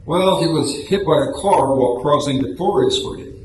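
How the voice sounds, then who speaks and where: A man's voice: a little high, normal speed, close to an everyday voice. A man acting a patient, apologetic but unbending police patrolman in an amateur radio drama reading. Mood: matter-of-fact. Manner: patient, matter-of-fact explaining, apologetic. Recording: echoey room, clear background noise.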